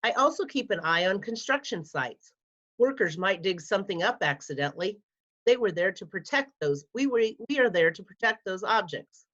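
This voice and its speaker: A woman's voice: medium pitch, animated, moderate pace, fairly bright tone. A woman reading a scripted article aloud for children, with careful, enunciated classroom delivery. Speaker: school media specialist reading an article aloud to pupils. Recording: dull, lo-fi sound.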